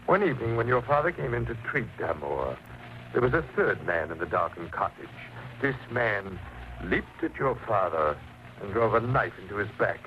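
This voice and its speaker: Through a dull, faintly noisy old radio recording comes an eerie male madman poet. He is cryptic and grave, weighing each word in a low register, like a ghost in a thriller. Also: sing-song. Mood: grave, haunted. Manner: deliberate, cryptic. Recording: band-limited, noisy vintage radio recording.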